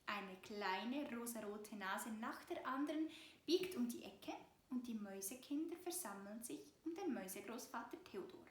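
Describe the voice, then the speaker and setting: A woman's voice: on the high side, animated, moderate pace, slight background noise. A woman narrating a storybook tale for children as a storyteller. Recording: roomy, echoing voice recording.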